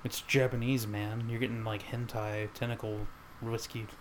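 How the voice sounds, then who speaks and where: A man's voice: fairly low pitch, level tone, moderate pace, noisy background. A man co-hosting a casual podcast, joking around and laughing often. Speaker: podcast co-host. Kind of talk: casual chat.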